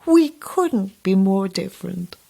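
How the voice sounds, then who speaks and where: A woman playing a sly, motherly hostess in her seventies in an audio drama, husky, blunt and playful, cooing endearments. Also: mid-range voice, sing-song, slow, mellow, full character voice. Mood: fussing, motherly. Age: 70s. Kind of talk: audio horror drama acting.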